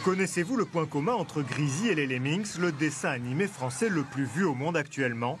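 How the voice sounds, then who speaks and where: A man narrating a television news report in a measured, scripted voice-over. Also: a little high, animated, over music.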